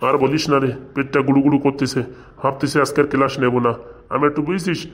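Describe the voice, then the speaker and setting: A man's voice: medium pitch, fairly even intonation, fast, close to an everyday voice. A man voicing a crude, easily swayed village schoolteacher in a comic cartoon, blustering and repeating himself. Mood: queasy, weary.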